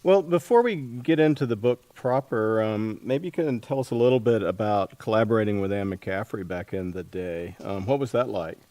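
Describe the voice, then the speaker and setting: Male podcast host, slightly low voice, casual and a bit hesitant, close dry sound. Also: normal speed, few pauses, warm tone, dry recording. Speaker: podcast interviewer. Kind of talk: casual book interview.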